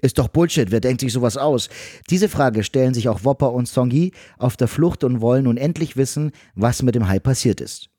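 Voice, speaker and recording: A man's voice: medium pitch, normal speed, warm tone, no echo, studio quality. A man reading a scripted comedic film recap in a wry, sarcastic manner.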